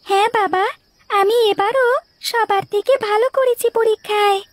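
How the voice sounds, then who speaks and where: Extremely squeaky little schoolboy. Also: female voice, fairly even intonation, normal speed, slight background noise. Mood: eager, affectionate. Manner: earnest, childlike. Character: devoted young schoolboy son, sweet and eager. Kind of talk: cartoon child acting.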